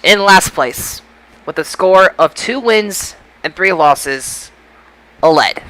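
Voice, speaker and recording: A man's voice: sits high, animated, slow, sharp tone, poor audio. A man hosting a casual podcast discussion, slipping into an announcer-like delivery. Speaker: podcast host.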